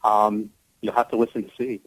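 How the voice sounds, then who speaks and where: A man's voice: fairly low pitch, little pitch movement, fairly quick, dry recording, poor audio. A man speaking as an actor guest in a conversational radio interview, explaining things earnestly.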